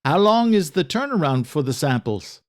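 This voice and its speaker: A man's voice: fairly high pitch, big pitch swings, moderate pace, voice pushed up. A man voicing an anxious, over-eager, polite young man in an audio drama, a little breathless. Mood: pressing, eager.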